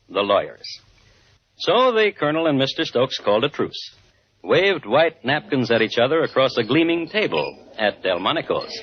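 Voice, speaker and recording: A man playing a dry, wry crime-story host, narrating with deliberate phrasing in 1950s radio style. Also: medium pitch. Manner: deadpan irony, deliberate phrasing. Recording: dull old radio recording.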